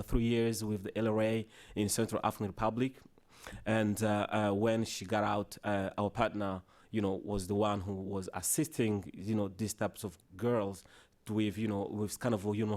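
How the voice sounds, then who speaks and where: A man whose first language is Swahili gives a conference presentation with slides, in a halting way full of restarts and "you know" fillers. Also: fairly low pitch, a little mellow. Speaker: conference panelist. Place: conference hall.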